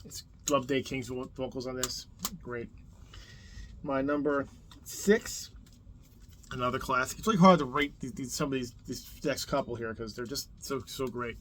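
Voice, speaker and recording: A man's voice: mid-range voice, steady tone, moderate pace, a bit bright. A man from New Jersey with a Jersey accent hosts a casual album countdown on his video channel, rambling with lots of fillers and restarts. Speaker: YouTube host.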